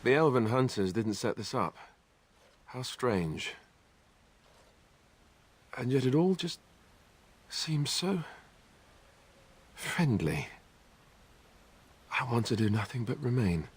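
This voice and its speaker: Slow, husky warrior companion. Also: male voice, medium pitch, sing-song, heavily acted. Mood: dreamy, puzzled. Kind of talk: fantasy video game acting.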